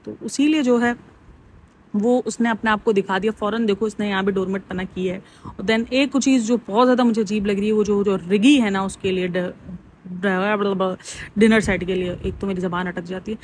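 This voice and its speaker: A woman vlogger chats through her opinions on celebrity vlogs and TV shows. Her talk is casual, opinionated and full of friendly asides to the audience. Her pitch sits mid-range, and a faint hiss runs under the recording. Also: steady tone, moderate pace, poor audio. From Pakistan. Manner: chatty, opinionated, keeps calling listeners "bhai". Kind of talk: casual gossip commentary.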